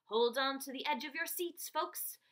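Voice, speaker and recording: A woman voicing a father as a theatrical circus ringmaster, announcing in a showy, drawn-out way for a storybook. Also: high-pitched, fairly bright tone, voice pushed up. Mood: showy, grand.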